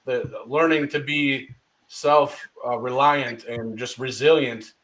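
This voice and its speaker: A man's voice: mid-range voice, fairly even intonation, takes it slowly, fairly bright tone, rough recording. Male podcast host, affirming, conversational, leading questions. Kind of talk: casual interview.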